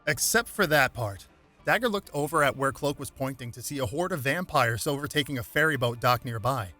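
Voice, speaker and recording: A man's voice: medium pitch, brisk, bright, forceful tone, faint music behind. A man reading a superhero story aloud as scripted dramatic narration.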